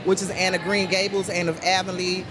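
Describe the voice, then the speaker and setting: Woman, deep voice, podcast guest, rambly with restarts, music in the background. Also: little pitch movement, fairly quick, rough recording. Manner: rambling, with many restarts and fillers. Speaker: guest, puppet show creator. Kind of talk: casual podcast conversation.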